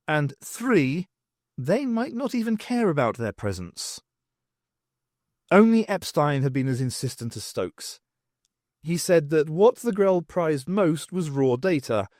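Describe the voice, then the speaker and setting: Animated male audiobook narrator, dry close sound. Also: a little high, no echo. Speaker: audiobook reader. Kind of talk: scripted narration of a science fiction novel.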